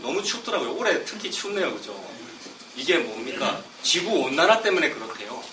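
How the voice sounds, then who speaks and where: A middle-aged man with a cold preaching a sermon, chatting casually between slow scripture readings. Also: mid-range voice. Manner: conversational, self-deprecating asides between slow scripture reading. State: has a cold, coughing. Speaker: pastor. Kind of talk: expository sermon. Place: church sanctuary. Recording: roomy, distant mic with background noise.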